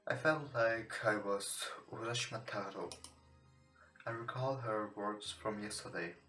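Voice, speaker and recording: Slow, low-voiced man, non-native English speaker. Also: steady tone, bright, forceful tone. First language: not English. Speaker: let's play host. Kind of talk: reading visual novel text aloud with occasional asides. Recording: roomy echo with music behind.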